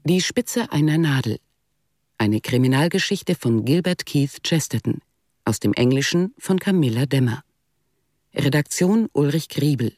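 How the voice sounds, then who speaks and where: A male radio announcer reads scripted credits at a slow, measured pace. His delivery is formal, in a mid-pitched voice with a very dry studio sound. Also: darkish tone, no echo.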